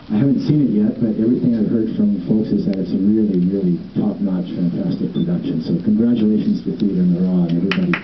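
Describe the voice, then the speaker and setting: A man's voice: low-pitched, fast talker, hardly any pauses, slight background noise. A middle-aged man giving a casual, rambling welcome outdoors on a city street, speaking into a handheld microphone. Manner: relaxed, rambling, many ums and restarts. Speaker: festival producer welcoming a walking tour. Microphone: handheld microphone heard from a distance. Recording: roomy, distant, dull phone-camera sound.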